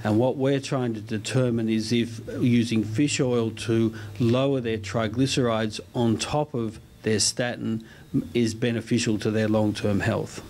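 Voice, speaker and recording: A man interviewed as a medical researcher, giving explanatory answers in a measured, slightly hesitant way. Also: mid-range voice, fairly even intonation, darkish tone. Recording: slight background location noise.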